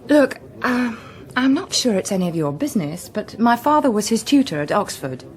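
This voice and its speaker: A woman's voice: fairly high pitch, very animated, moderate pace. An actress playing a guarded professional woman who answers in a clipped, defensive manner. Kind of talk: British political drama acting.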